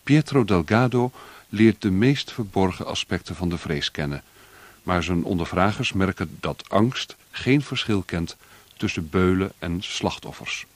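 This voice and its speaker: A man announcing a radio play, reading a formal, measured scripted introduction close to a studio microphone. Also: low voice, lively intonation, no room sound.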